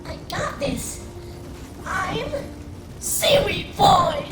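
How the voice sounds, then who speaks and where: An eager young boy superhero, played by a woman in a radio play. The voice is extremely high and wildly varied, naive and excitable, with music clearly behind. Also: extremely slow, full character voice. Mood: exhilarated. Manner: excitable, wavering then bold. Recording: voice over dramatic music.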